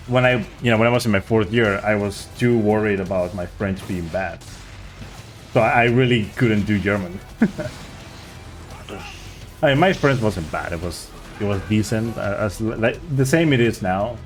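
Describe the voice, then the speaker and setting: A man's voice: lowish voice, normal speed, pauses often, faint music behind. A man streaming a speedrun, chatting casually and rambling as he searches for words. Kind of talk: casual chat while playing.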